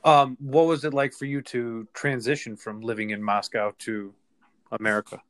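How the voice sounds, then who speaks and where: A man hosting an interview, asking measured questions with pauses and ums. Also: mid-range voice, little pitch movement, a bit bright. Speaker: interview host and city council member.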